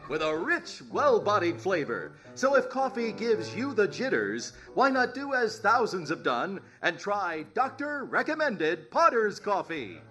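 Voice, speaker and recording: An upbeat 1930s radio announcer, played by a man, polished and salesy. The voice is high and sharp with big melodic swings. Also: sing-song, measured pace, few pauses, soft background music, heavily acted. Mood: upbeat, selling.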